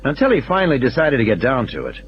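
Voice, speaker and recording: A man voicing a measured, analytical scientist who probes and reasons aloud in an audio play. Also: medium pitch, huge pitch swings, muffled recording, a little hiss or hum. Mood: reasoning. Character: questioning male scientist colleague, measured and analytical.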